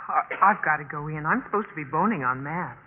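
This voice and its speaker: An actress playing an excitable young college student in 1950s radio drama style, breaking off and restarting with emotion. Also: female voice, low voice, fairly quick, a little hiss or hum. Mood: tender. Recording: tinny, narrowband 1950s radio recording, faint music.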